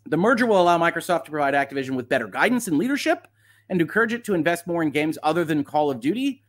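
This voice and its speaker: Higher-voiced man giving analytical commentary. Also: very animated. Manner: analytical, measured hedging, lawyerly vocabulary. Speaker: host, legal commentator. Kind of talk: live off-the-cuff legal commentary, reading and reacting.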